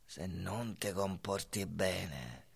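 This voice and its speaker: Casting: man for solemn mobster-like mentor near his end, radio drama, slow, rough and creaky, quietly menacing. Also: sits low, heavily acted. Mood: grave, dying. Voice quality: gravelly, husky. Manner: grave, slow, menacing counsel. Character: solemn, dying mobster-like mentor made of marble. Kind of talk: theatrical radio play acting.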